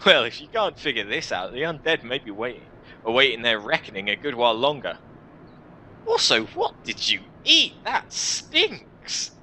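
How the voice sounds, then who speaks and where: A man voicing a teasing female companion in a fantasy game, chiding in a halting way. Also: medium pitch, animated, bright, forceful tone, slight background noise.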